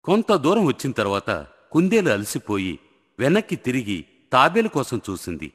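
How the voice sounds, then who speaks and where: A man's voice: mid-range voice, huge pitch swings, normal speed. A man narrating a scripted moral story for children as the storyteller. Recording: long hall reverb, faint music.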